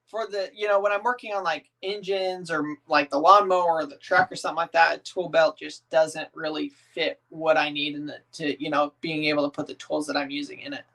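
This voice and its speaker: A man's voice: on the high side, fairly even intonation, brisk, creaky, a bit bright, rough recording. Easygoing rambling man chatting with viewers. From northern United States. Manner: rambling, easygoing, many "um"s and restarts; reads viewer comments aloud and answers them. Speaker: family vlogger answering viewer comments. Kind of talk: casual livestream chat.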